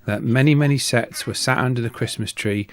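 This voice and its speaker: A man's voice: mid-range voice, brisk. A middle-aged man reading a scripted voice-over narration as an online video host. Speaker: YouTube host, radio hobbyist.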